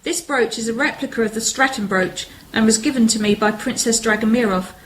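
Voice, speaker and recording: A woman's voice: a little high, fairly quick, a little hiss or hum, lightly acted, rough recording. A woman playing an indignant, emotional wife caught up in a spy case in an amateur radio play. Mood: composed. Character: proud wronged wife turned detective.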